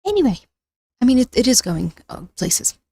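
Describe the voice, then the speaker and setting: A woman's voice: a little high, very animated, normal speed, creaky, dark tone, husky, no room sound, studio quality. Giggly young woman chatting on a live stream. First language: not English. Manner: chatty, giggly, rambling with many restarts. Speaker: livestreamer. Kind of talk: casual live-stream chat. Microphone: phone.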